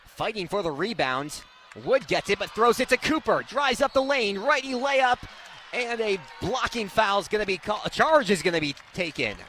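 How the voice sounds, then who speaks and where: A young man does live radio basketball play-by-play in a fairly high, bright, forceful voice. His delivery is excitable and rises with the action. He speaks into a courtside mic, with clear game noise behind him. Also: normal speed. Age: young adult. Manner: excitable, swelling on big plays.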